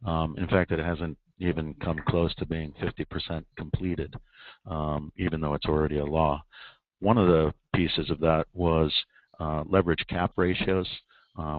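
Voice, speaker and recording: Deep-voiced man on a tinny phone line. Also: no echo. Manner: measured, explanatory, frequent ums and uhs. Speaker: repo market expert guest. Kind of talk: conference call explanation. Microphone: telephone.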